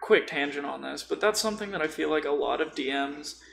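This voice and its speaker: A man's voice: a little high, fairly quick, vocal fry, a bit bright. A man chatting casually about tabletop role-playing in an informal, rambling way full of tangents.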